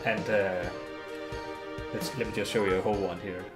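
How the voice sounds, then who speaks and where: A man's voice: fairly low pitch, little pitch movement, normal speed. A man from Denmark, a native Danish speaker, chatting politely and casually while streaming a game, often restarting his words. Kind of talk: casual live-stream chat while gaming. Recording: game music playing behind.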